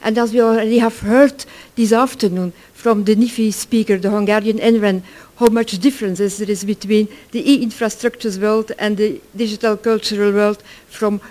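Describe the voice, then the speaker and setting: A middle-aged or older speaker from Belgium, giving an informal conference presentation in halting, self-correcting English. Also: medium pitch, a little mellow. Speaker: project work package leader, conference presenter.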